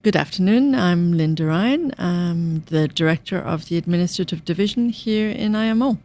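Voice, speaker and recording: A woman in her fifties from Ireland, with a softened Irish accent, answering interview questions in a measured way and listing items at length. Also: on the low side, expressive. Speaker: UN finance director guest.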